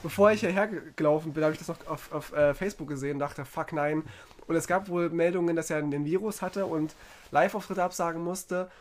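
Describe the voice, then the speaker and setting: A man's voice: on the high side, brisk. A young man co-hosting a live podcast, chatting and bringing stories and news to the table. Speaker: podcast co-host. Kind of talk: casual live podcast banter.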